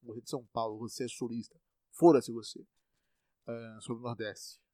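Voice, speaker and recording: A man's voice: mid-range voice, flat delivery, normal speed, mellow, no room sound. Young bahian dude ranting on his podcast, swears a lot, dry close mic. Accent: Bahian Brazilian Portuguese. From Salvador, Brazil. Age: 20s. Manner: rambling, profane, self-mocking. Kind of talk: casual monologue.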